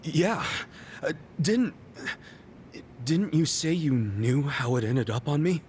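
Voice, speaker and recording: A man's voice: fairly high pitch, animated, slow, a little mellow, a little hiss or hum. An actor playing an earnest, impulsive young male hero who keeps blurting out questions. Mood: baffled.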